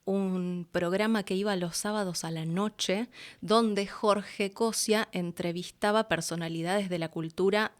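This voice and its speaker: A woman with a Rioplatense accent from Argentina speaks as a guest in a relaxed interview. Her voice is smooth and resonant at an average pitch. She talks in a casual storytelling way, slipping into quoted dialogue and little asides. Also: unhurried. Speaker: interview guest, voice-over artist and producer.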